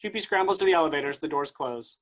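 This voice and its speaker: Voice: medium pitch, animated, fast talker, a bit bright. A narrator reading out stage directions in a staged play reading, in scripted narration.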